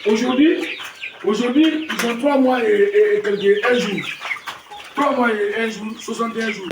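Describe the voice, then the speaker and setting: Proud Malian man, high and echoey. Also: unhurried. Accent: Malian French. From Mali. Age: 50s. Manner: proud, repetitive, stumbling restarts. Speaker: poultry breeder. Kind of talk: farm tour talk to camera. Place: poultry farm with clucking hens. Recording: echoey, far from the mic, noisy farm.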